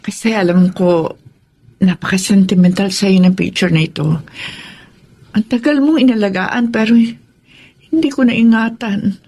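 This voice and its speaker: A woman's voice: mid-range voice, measured pace, pauses often, a little mellow, lightly acted. A woman playing a sentimental middle-aged mother in love again, in a wistful, emotional radio drama style. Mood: pleading. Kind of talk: Tagalog radio drama acting.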